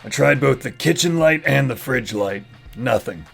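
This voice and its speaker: A man playing a gruff, annoyed young man in a fan audio drama, blunt and sardonic. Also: mid-range voice, animated, moderate pace, a bit bright, faint music behind, heavily acted.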